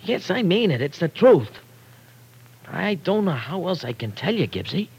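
A slangy young errand runner in a classic radio drama. His voice is fairly high and husky, with big swings in pitch. He talks streetwise but stumbles, always dodging and guarding himself. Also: very animated, moderate pace, darkish tone, exaggerated voice, rough recording. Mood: defensive, insistent. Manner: streetwise slang, hesitant and stammering under pressure. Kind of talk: old-time radio drama acting.

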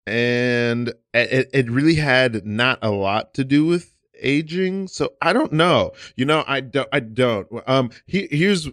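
Halting man in his thirties, dry studio. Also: mid-range voice, expressive, fairly bright tone, no room sound. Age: 30s. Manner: halting, many restarts and fillers. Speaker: podcast host. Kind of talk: casual chat. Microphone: studio mic.

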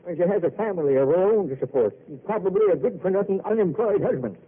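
An actor playing a stubborn old grandfather and former pioneer, gruff and blunt, in a radio drama. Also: high voice, lively intonation, quick pace. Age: older. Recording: tinny old radio broadcast.

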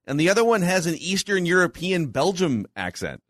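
A man hosting a podcast in a casual chat, relaxed and steering the talk with stumbling restarts. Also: high-pitched, a little fast, no room sound, clean studio sound. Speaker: podcast host.

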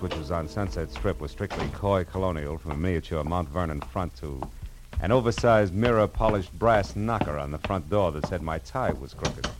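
An actor playing a wry, tough private detective, clipped and world-weary, in a 1940s radio drama. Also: male voice, low-pitched. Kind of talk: 1940s radio drama acting and noir narration. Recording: vintage radio recording with music.

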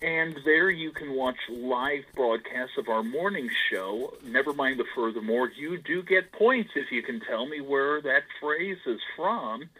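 A man, an author, chatting loudly and with lots of animation as an interview guest, laughing and acting out the voices in his stories. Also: mid-range voice, unhurried, few pauses, rough recording. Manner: loud, animated storyteller, laughs often; acts out quoted voices. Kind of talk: casual interview chat.

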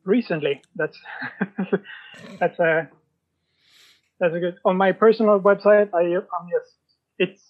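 Male guest, high voice, halting casual talk with mid-sentence pauses, muffled lo-fi recording. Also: fairly even intonation. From Sweden. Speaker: podcast guest, writer. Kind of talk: casual interview chat.

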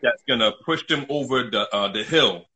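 A man's voice: mid-range voice, normal speed, sharp tone, low-quality recording. A middle-aged man from New City, telling his own story casually as an interview guest, emphatic and often repeating himself. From New York City, United States.

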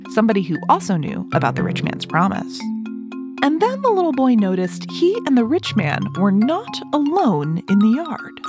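Woman, children's show host, animated storytelling, mid-range voice, simple and expressive delivery, music underneath. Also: medium pitch. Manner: expressive, clearly addressed to young children. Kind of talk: scripted storytelling and instructions for children.

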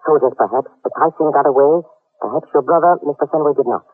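An actor playing a polite, precise foreign army colonel who speaks formal English in an old-time radio drama. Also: male voice, a little high, fast, slightly rough. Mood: grave, measured. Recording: muffled vintage radio recording, faint music.

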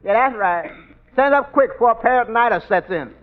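An actor playing a dry-humored tavern waiter in a 1940s radio sitcom, with folksy ungrammatical speech and stammered repeats. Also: male voice, very high voice, animated, a little fast. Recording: tinny old radio broadcast with hiss.

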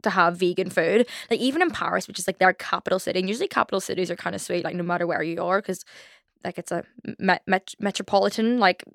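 A woman's voice: lowish voice, fast, creaky, a bit bright, dry recording, clean studio sound. A young woman in her twenties with a Belfast accent, hosting a casual solo podcast, chatty and rambling with lots of "like" and self-mocking asides. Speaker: podcast host.

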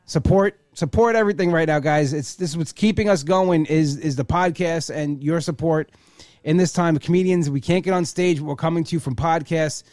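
A man's voice: a little high, brisk, a little mellow, no echo. Male host, 40s, casual rambling delivery, dry close-miked sound. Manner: rambling, restarts often, says like a lot. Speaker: podcast host. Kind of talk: casual podcast chat.

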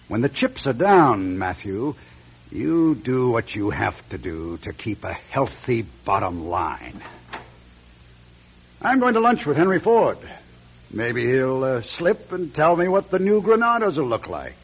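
An actor playing a blunt, cynical older executive who talks down to people in a radio drama. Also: male voice, medium pitch, sing-song, measured pace, a little hiss or hum. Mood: patronizing. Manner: brash, patronizing. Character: blunt, cynical older former company president. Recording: dull lo-fi old radio recording.

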